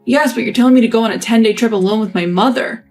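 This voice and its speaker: A woman's voice: a little high, fast talker, a little mellow, soft background music. A woman voicing a passive, indifferent young husband who talks in an offhand, shrugging way.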